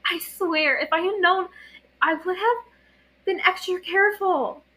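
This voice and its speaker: Woman voicing an anxious young boy monk, pitched up. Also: a little slow, pushed and bright, full character voice, low-quality recording. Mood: guilty, pleading. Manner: pleading, apologetic.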